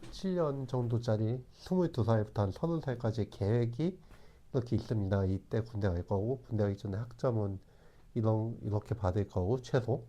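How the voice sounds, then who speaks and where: A man in his forties speaks in a low, very dark tone. He talks calmly and reflectively, pausing often and restarting his sentences as he thinks. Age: 40s. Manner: calm, hesitant, with many restarts. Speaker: channel host. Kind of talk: reflective talk to camera.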